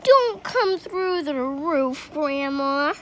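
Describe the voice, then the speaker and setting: A woman's voice: high-pitched, animated, extremely slow, a little hiss or hum, pitched above the performer's own voice, full character voice. A woman voicing an anxious, earnest young boy calling out in a storybook. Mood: worried. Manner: calling out, alarmed.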